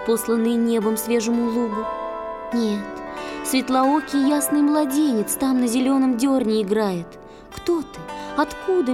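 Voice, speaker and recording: A woman performing in a children's audio play, reciting verse lyrically and expressively with drawn-out phrases. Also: on the high side, close to an everyday voice.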